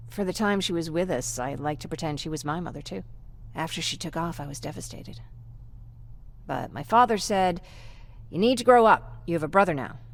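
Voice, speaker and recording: A woman playing a gentle, wistful young survivor with a creaky voice in a video game. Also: on the low side, a little hiss or hum. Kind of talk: video game dialogue acting.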